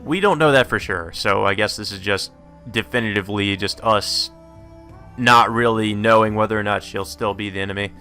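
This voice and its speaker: Low, bright-voiced man playing a game. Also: normal speed, faint music behind. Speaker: let's player. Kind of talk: reading game text aloud with casual commentary.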